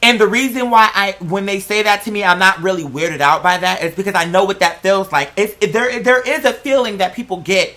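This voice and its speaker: A man telling personal stories as a host in a casual, sassy, dramatic way, with animated emphasis and lots of slang and swearing. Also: sits high, fairly quick, few pauses. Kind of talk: casual chat, personal storytelling.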